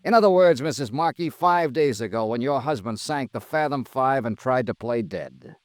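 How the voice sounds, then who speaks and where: An American actor playing a cool, accusing insurance investigator in a 1950s radio drama, with a clipped, deadpan delivery. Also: male voice, mid-range voice, moderate pace, no echo, close to an everyday voice. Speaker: lead actor and narrator. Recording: vintage radio drama, dry, music behind.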